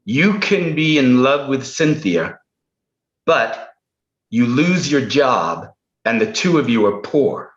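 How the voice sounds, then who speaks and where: Actor as a bossy author lecturing his character; slow, slightly high, roomy dull recording. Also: very animated. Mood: exasperated. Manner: exasperated, lecturing. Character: controlling male novelist arguing with his own character. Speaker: improv performer. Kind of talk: improvised stage comedy.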